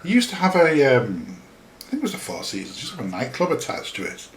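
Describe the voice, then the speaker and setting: A man's voice: a little high, huge pitch swings, quick pace, slight background noise. Man, Yorkshire accent with a hint of Scouse, middle-aged, podcast host, rambling, self-deprecating, roomy echo. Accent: Yorkshire English with a slight Liverpool tinge. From Yorkshire, England. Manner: chatty, self-deprecating, many fillers and restarts. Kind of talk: casual rambling talk over a screen-shared map. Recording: echoey room.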